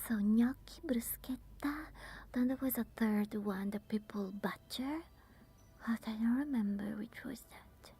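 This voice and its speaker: A woman with an Italian accent, softly whispering while chatting with viewers on an ASMR live stream. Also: on the high side, a little slow, slightly rough. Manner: soft whispering.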